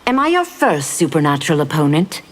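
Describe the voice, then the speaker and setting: A woman's voice: sits low, big pitch swings, fairly quick. A woman playing a zealous, righteous demon in a fighting game, solemn, earnest and formal. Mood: stern. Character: Ashrah, righteous female demon, zealous foe of evil. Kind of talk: fighting game character acting.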